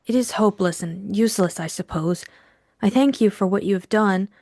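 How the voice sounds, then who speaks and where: A woman's voice: mid-range voice, moderate pace, darkish tone, no room sound, clean studio sound, lightly acted. A woman acting a frightened, secretive female client, timid and earnest, in an audiobook drama. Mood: meek, pleading.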